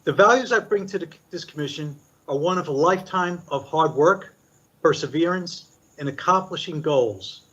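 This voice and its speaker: A man's voice: on the high side, a bit bright, slightly rough. Older man giving formal remarks, lo-fi. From Puerto Rico. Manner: measured, deliberate delivery with listed points. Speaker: commissioner. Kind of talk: formal prepared statement at a public meeting. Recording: dull lo-fi meeting recording.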